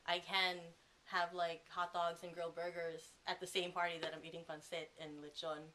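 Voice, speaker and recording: A woman's voice: lowish voice, level tone, moderate pace, pushed and bright, low-quality recording. A young woman answering interview questions in a chatty way, with lots of fillers.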